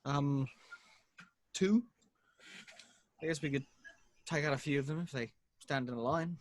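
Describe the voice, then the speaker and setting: A man playing a defeated, self-blaming young man under zombie siege in a table read, halting and exasperated. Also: a little high, natural voice. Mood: grim, hesitant.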